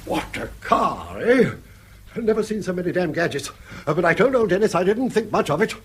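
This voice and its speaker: An old, bankrupt British grandfather in a radio play. He is sardonic, shameless and spoiling for an argument. His husky voice swings widely in pitch as he blusters on. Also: sing-song, a little fast, full character voice. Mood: grumbling, sardonic. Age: older. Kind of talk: British radio drama acting.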